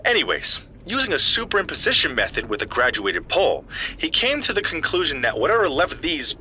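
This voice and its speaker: A man's voice: a little high, big pitch swings, a little fast, sharp tone, lo-fi sound, slight background noise, exaggerated voice. A man playing an earnest, geeky scientist in an audio drama, explaining evidence to a friend in precise technical detail. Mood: matter-of-fact. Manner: precise, technical, lecturing yet familiar. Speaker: scientist analysing footprint casts.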